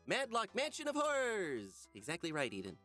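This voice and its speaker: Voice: medium pitch, wildly swooping pitch, normal speed, a bit bright, faint music behind. An actor voicing an upbeat, dry-humored male middle school teacher in a visual novel, talking theatrically to a class. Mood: playful. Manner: theatrical, addressing a class of children. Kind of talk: animated visual novel character acting.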